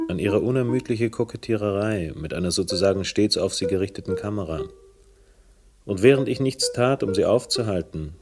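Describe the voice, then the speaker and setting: An actor playing a world-weary, bitter private investigator, narrating a 1970s German radio crime drama in laconic, sardonic, clipped phrases. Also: male voice, deep voice.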